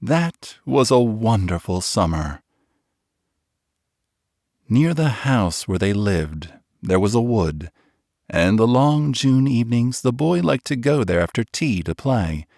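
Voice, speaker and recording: A man narrating a children's storybook in a gentle, expressive way, slowing on the tender lines. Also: medium pitch, no room sound, clean studio sound. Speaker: audiobook narrator.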